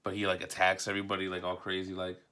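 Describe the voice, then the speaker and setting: A man with a fairly low, very bright and forceful voice talks casually through a review. He rambles in an offhand, unscripted way, with the loose phrasing of a hobby collector chatting to viewers. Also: completely flat delivery, fast talker. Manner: offhand, rambling, mildly profane. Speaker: comic book collector reviewing a book.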